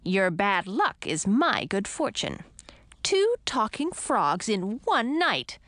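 A woman's voice: medium pitch, big pitch swings, a bit bright, no room sound, studio quality. A woman voicing a sly young witch from a storybook, taunting with mock sweetness and gloating menace. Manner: mock-friendly, taunting, drawn-out words.

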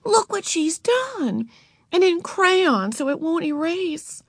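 A woman's voice: a little high, steady tone, normal speed, no echo, clean studio sound, voice pushed up, natural voice. A woman voicing an exasperated, earnest schoolgirl, the older sister in a storybook. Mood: indignant.

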